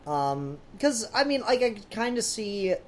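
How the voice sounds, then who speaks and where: A man's voice: high voice, sing-song, unhurried, slight background noise. A man chatting casually and informally as co-host of a fan discussion podcast. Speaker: comic book review podcast co-host.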